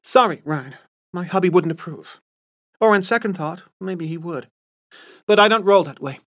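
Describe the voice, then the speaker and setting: Witty prim saleswoman , man doing her, raised pitch, teasing. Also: lively intonation, normal speed, fairly bright tone. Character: poised witty married woman sales rep.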